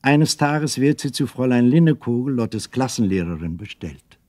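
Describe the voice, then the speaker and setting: A man's voice: mid-range voice, animated, moderate pace, a little mellow, dry recording. A man narrating a scripted children's radio play as a storyteller.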